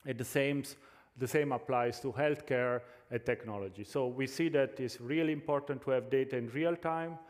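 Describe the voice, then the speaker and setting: A man's voice: mid-range voice, normal speed, long reverb tail. A man with an Italian accent giving a tech conference talk, hesitant, with many fillers and restarts. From Italy. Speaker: conference speaker. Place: large conference hall.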